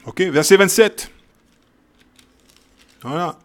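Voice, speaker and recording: A man's voice: high-pitched, animated, slow. A man teaching a Bible sermon, reading verses and repeating key phrases with emphasis, often checking in with "ok?" and "hein?". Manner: shifts from quiet verse reading to loud exhortation. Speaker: preacher.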